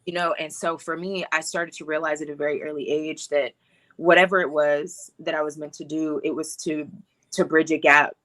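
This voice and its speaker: A woman's voice: low voice, flat delivery, normal speed, a bit bright, low-quality recording. A young woman from Hawaii, a filmmaker and actress, telling her story on a video call in a reflective, casual way, full of "like" and "you know". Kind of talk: casual interview.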